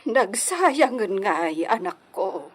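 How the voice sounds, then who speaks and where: A woman's voice: mid-range voice, sing-song, slow, breathy edge, exaggerated voice. A woman with an Ilocano accent playing a fretful, lamenting mother in a radio drama. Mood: worried lamenting. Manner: lamenting, exclamatory. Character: fretful mother of feuding grown children, hacienda household.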